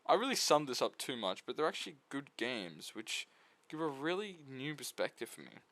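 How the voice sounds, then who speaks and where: A man's voice: medium pitch, big pitch swings, moderate pace, a bit bright, no room sound. A man giving a casual video essay review of visual novels, full of flippant, irreverent asides.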